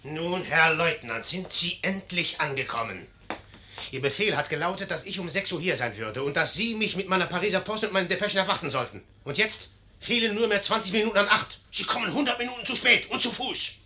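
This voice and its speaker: An actor playing an imperious, impatient young general, curt and commanding, in a 1950s German radio play. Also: male voice, high voice, animated, fairly quick, pushed and bright, heavily acted. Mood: stern.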